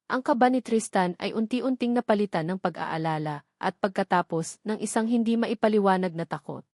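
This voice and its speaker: A woman's voice: medium pitch, darkish tone, no room sound. Woman narrating a dramatic story, dry.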